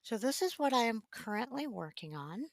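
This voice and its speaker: A woman hosting a casual art livestream, her voice raspy as she recovers it, talking in a relaxed, halting way with many ums. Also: a little high, dry recording. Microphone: desk microphone.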